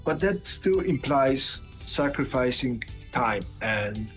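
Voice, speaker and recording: A man's voice: medium pitch, lively intonation, slow pace, a bit bright, faint music behind. A man guesting on a streamer interview, talking casually with dry jokes and rambling asides.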